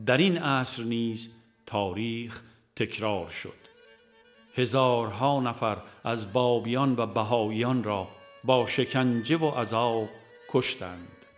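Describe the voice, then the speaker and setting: A man narrates a religious book in a mid-pitched voice. His delivery is measured and formal, following a written text. Instrumental music plays behind him. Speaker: audiobook narrator.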